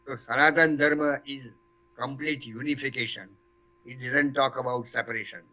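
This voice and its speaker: Older man, bright voice. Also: medium pitch, steady tone, normal speed, rough recording. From Gujarat, India. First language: Gujarati. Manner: earnest, quotes Sanskrit verses. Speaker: panelist. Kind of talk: panel discussion.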